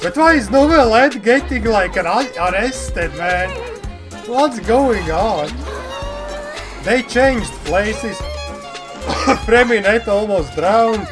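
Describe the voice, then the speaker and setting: A man in his thirties giving casual, excitable off-the-cuff commentary as a reaction video host, often exclaiming and repeating his phrases. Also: high voice, very slow, long pauses. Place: indoor room. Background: game trailer music.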